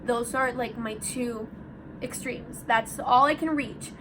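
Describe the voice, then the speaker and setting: A woman's voice: fairly high pitch, steady tone, takes it slowly. A young woman, a band's lead singer, chatting casually and giggling with her bandmates. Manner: chatty, giggly, switches into Spanish. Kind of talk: casual chat among bandmates. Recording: audible background noise.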